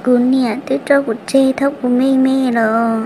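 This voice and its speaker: Woman playing high-pitched cartoon woman, noisy recording. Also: little pitch movement, measured pace. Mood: conversational. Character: high-voiced female character in a family folk drama cartoon. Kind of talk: cartoon voice acting, dramatic dialogue. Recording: clearly noisy background.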